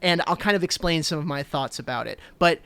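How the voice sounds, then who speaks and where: A man co-hosting a podcast chats with eager, rambling energy. His voice sits a little high and comes through smooth and resonant. The sound is close and dry. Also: brisk, sharp tone, no room sound. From New Jersey, USA. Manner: enthusiastic, rambling with many fillers. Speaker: podcast co-host. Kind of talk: casual chat.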